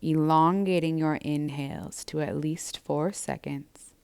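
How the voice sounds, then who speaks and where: Female meditation guide: low, smooth and resonant, with gentle, measured, soothing delivery. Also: fairly even intonation. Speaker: yoga and meditation instructor. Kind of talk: guided breathwork and body scan instruction.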